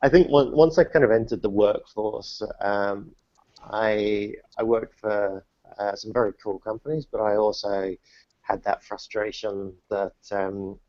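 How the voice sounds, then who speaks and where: A man's voice: on the low side, steady tone, a little slow, darkish tone, dry recording. A man in his thirties who grew up in the UK, answering interview questions in a casual, hesitant way with many restarts. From New Zealand, grew up in the UK. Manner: hesitant, many restarts and fillers, self-deprecating. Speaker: guest entrepreneur. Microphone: remote call, dull lo-fi and dry. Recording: dull dry online call audio.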